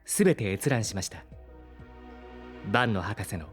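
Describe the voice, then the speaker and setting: A man playing a polite, caring artificial intelligence with formal, measured speech in a Japanese audio drama. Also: fairly low pitch, expressive, soft background music. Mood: earnest, grateful.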